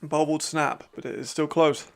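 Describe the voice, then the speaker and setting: A man hosting a watch-along, giving casual live commentary with a raspy voice, chatty and laughing often. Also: fairly high pitch, steady tone, normal speed. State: hay fever.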